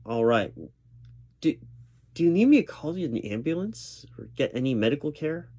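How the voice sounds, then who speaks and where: A man's voice: fairly high pitch, very animated, moderate pace, mellow. A man performing a one-sided spoken ASMR roleplay as a recruiting manager, reassuring and patient, with formal corporate phrasing.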